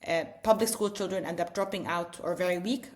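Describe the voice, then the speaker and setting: Woman, middle-aged, somewhat low voice, earnest explanatory tone, frequent "you know" and restarts, interview guest. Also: brisk. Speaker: edtech founder, interview guest. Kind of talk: casual interview conversation.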